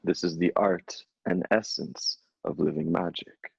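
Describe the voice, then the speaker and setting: A man in his thirties, a spiritual coach, giving a slow, soothing reading and then chatting casually with his client. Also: low voice, steady tone, creaky, breathy edge, dry recording. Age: 30s. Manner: slow, soothing, affirming delivery. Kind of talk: guided channeled reading, then casual chat. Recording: dull lo-fi sound.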